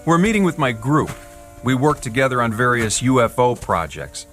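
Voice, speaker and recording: A man's voice: medium pitch, big pitch swings, moderate pace, a bit bright. Wry man in radio drama, music behind. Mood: explanatory. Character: wry, skeptical, easygoing male UFO investigator. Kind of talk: radio drama acting, conversational.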